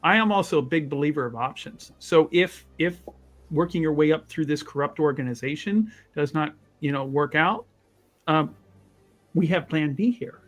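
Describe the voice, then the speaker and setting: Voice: medium pitch, moderate pace. A player talking casually in a tabletop roleplaying game, agreeable and eager, narrating a character's actions.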